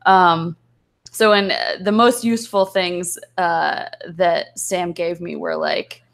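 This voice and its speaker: A woman's voice: fairly low pitch, steady tone, takes it slowly, gravelly. A woman guest chatting casually and a little hesitantly, thinking aloud as she explains. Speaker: voice actor, guest. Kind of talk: casual chat.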